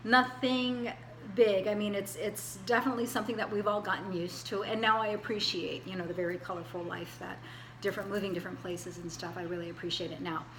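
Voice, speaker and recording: A woman in her forties introducing herself in a homemade class video, hesitant and full of pauses and restarts. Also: medium pitch, fairly even intonation, echoey room, background noise. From Hawaii, US. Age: 40s. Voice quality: hesitant, many fillers and restarts. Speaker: student.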